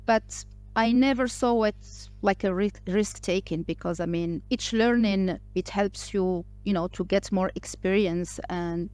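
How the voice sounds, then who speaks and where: A woman's voice: medium pitch, unhurried, a little mellow, no room sound. Hesitant woman from Morocco, interview guest. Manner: speaks English with frequent fillers, restarts and hesitations. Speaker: podcast guest, entrepreneur. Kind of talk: casual interview talk.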